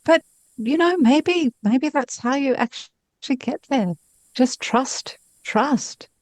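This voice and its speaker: A woman's voice: sits high, slow pace, a little mellow, dry recording. A woman in her fifties chatting casually as an interview guest, effusive and self-deprecating about her own work as a novelist. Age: 50s.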